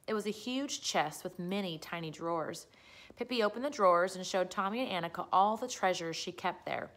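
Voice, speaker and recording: A woman narrates a children's book. Her voice is of middle pitch. She reads aloud in the style of a storyteller. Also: fairly bright tone.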